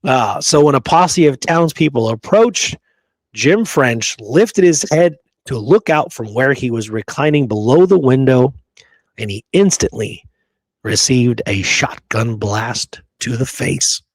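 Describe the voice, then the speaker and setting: A man's voice: mid-range voice, lively intonation, measured pace, dry recording, poor audio. A man co-hosting a history talk show, reading accounts aloud and adding casual remarks. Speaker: show co-host.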